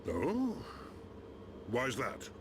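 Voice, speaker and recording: An actor playing a jovial, unhurried old chief of a machine race in a video game cutscene, with loud noise behind. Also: male voice, medium pitch, wildly swooping pitch, exaggerated voice. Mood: genial.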